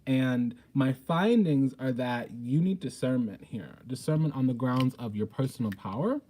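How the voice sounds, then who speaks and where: Man, mellow dark tone, mid pitch, tarot reader, blunt, sassy, theatrical emphasis, informal. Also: expressive, normal speed. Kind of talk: informal spiritual tarot reading.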